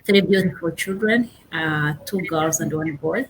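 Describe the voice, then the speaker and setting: A young woman with a Rwandan accent, a guest on a casual interview, pausing often and searching for her words. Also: low-pitched, slight background noise, low-quality recording. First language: Kinyarwanda. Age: young adult. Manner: halting, searching for words with many fillers.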